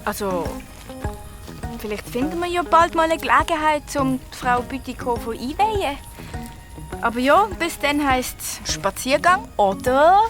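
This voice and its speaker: A woman playing an excitable young twin girl detective with a Swiss German accent, bossy but caring, in a children's audio drama. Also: sits high, a little slow, over music. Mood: thoughtful.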